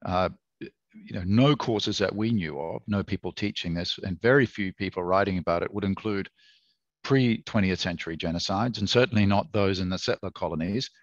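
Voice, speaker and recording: A man in his fifties with an Australian accent, answering questions as an author in an academic conversation, measured and thoughtful with frequent fillers and restarts. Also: low voice, no echo. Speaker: author and historian guest. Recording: dry, dull lo-fi sound.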